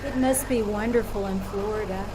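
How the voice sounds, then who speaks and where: A woman playing an anxious, earnest married traveler, hesitant and halting, in an old-time radio drama. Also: mid-range voice, lightly acted. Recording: live theater recording with faint noise.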